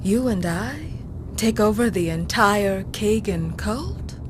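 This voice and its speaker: A woman playing a boastful, scheming female vampire in a video game cutscene, taunting and sardonic with a purr. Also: expressive. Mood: sly, scheming. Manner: taunting, purring, sardonic, with drawn-out threats. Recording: clear rumbling noise behind the voice.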